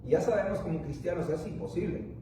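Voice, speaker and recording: A man preaches a sermon in a large, echoing room, with his voice picked up from a distance. His tone is warm and dark, and he talks haltingly, restarting and repeating himself often. He is a native English speaker. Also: high-pitched, a little hiss or hum. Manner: rambling, halting with many restarts and fillers. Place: church.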